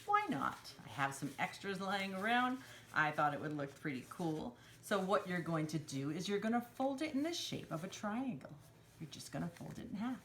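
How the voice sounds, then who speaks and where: A woman's voice: lowish voice, very animated, moderate pace, a bit bright, a little hiss or hum. A woman hosting a children's storytime craft, explaining each step in simple instructional phrases for young children. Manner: simple instructional phrasing, refers to herself in third person.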